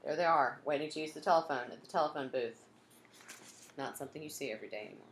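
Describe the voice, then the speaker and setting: Low-voiced woman reading a storybook, roomy echo. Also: quick pace. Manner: expressive, acts out dialogue and sound effects. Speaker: teacher reading a children's book aloud. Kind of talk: animated storybook read-aloud with asides. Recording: roomy echo, some background noise.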